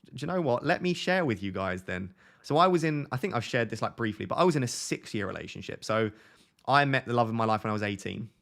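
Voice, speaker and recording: A man's voice: medium pitch, expressive, fast, vocal fry, fairly bright tone. A man in his twenties from Essex, with a toned-down Essex accent, chatting candidly on a live stream and answering viewers' questions, rambling through tangents and restarts. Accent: softened Essex English. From Essex, England. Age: 20s. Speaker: fantasy football streamer answering chat. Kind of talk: casual live-stream chat.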